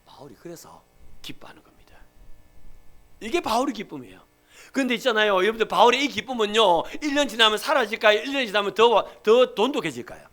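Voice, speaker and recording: A male pastor with a Gyeongsang accent preaching a Sunday sermon, emphatic and swinging from loud to hushed. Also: high-pitched, animated, brisk.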